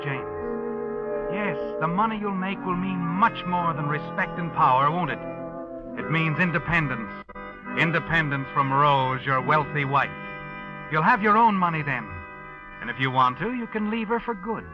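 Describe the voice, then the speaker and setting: An ominous American male actor as a radio mystery narrator speaks in a fairly high man's voice, insinuating and knowing. He addresses the listener like an accomplice in an old 1940s drama. The sound is dull vintage radio with clear music underneath. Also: close to an everyday voice.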